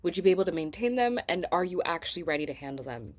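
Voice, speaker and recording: A young woman with a low voice that sounds a little stuffed up from a cold. She hosts a self-help vlog, speaking in a candid, conversational way with emphatic stresses on key words. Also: big pitch swings, fast. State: slightly congested from a cold. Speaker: vlog host and talk show producer. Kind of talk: casual confessional self-help talk to camera.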